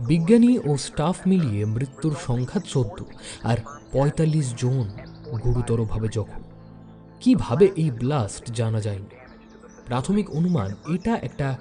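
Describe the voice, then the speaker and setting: Guy as a student telling a dramatic first person story, warm mellow tone, long reverb, music underneath. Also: medium pitch, lightly acted. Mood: grave, troubled. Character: earnest male university student telling his story. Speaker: narrator.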